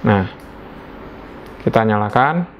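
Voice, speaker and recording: A man's voice: on the low side, lively intonation, slow pace, lo-fi sound, a little hiss or hum. A man with an Indonesian accent giving a casual, conversational unboxing narration as a product reviewer, explaining things informally. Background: background music.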